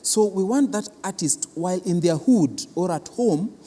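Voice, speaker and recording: Kenyan guy being interviewed, soft mellow tone, explains stuff deliberately, big echoey hall. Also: high voice, expressive. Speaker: cultural centre official being interviewed. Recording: reverberant hall.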